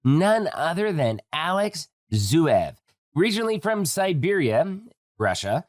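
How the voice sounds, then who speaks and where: A man's voice: fairly high pitch, no room sound, studio quality. A man hosting a podcast interview, chatty and enthusiastic with a joking manner. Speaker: podcast host. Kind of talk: casual interview.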